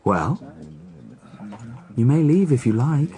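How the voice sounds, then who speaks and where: A man's voice: medium pitch, big pitch swings, slow, dark tone. A man acting in a radio drama as a tense, stubborn, desperate gambler with terse, defiant delivery.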